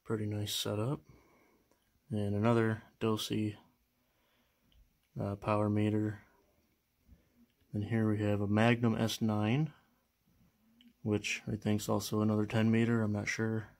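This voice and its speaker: A man's voice: on the low side, monotone, unhurried, pauses often, a little mellow. A young man casually walking through his radio collection on camera, talking in a hesitant, unsure way with lots of fillers. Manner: unsure, hesitant, many fillers and trailing phrases. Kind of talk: casual show-and-tell walkthrough.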